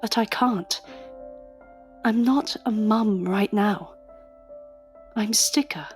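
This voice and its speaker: A woman's voice: mid-range voice, slow, faint music behind, natural voice. A woman playing a wry, motherly undercover agent, confiding as narrator of a scripted audio drama. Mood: tender, pained.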